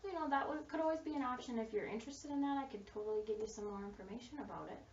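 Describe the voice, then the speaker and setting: A woman acting as a school social worker in a counseling role-play, speaking gently and reassuringly with frequent fillers and affirmations. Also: on the high side, racing, slight background noise. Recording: distant, roomy, dull recording.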